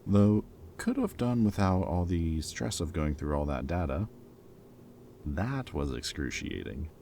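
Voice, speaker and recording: A man's voice: sits low, animated, measured pace, warm tone, a little hiss or hum. A man playing a teasing but caring ex-villain in an intimate roleplay audio drama, speaking in casual, wry banter. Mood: amused, planning. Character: teasing, caring male ex-villain planning with old hero friend.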